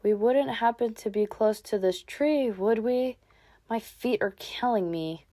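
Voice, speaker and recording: A woman's voice: a little high, unhurried, dark tone, close to an everyday voice. A woman playing a young fox Pokemon in a comic dub, a sceptical, easily flustered trainee explorer with a dry, questioning manner. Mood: weary, unsure. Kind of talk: animated comic dub acting.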